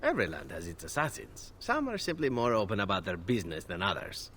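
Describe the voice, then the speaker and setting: Brief: low, lilting charming elf assassin, game voice, faint hiss. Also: male voice, big pitch swings, fast talker, a bit bright. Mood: teasing. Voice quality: smooth, teasing, playful. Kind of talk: video game voice acting. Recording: game audio with faint noise.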